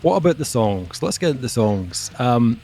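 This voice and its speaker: Man halting podcast reviewer. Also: mid-range voice, big pitch swings, darkish tone, faint music behind. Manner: halting, lots of ums, jokey self-mockery. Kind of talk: casual review chat.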